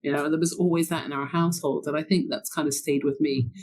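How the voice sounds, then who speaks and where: A woman's voice: sits low, fairly even intonation, fast talker, a little mellow, a little hiss or hum. A woman in her forties with a mixed British and American accent, chatting as an interview guest, bubbly and laughing often, with lots of fillers. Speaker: guest, wine buyer. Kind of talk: casual interview chat.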